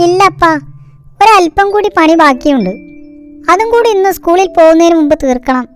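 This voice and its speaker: A woman's voice: very high-pitched, expressive, moderate pace. A woman voicing an eager, polite young schoolboy inventor in a cartoon. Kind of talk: cartoon dialogue. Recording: music behind the voice.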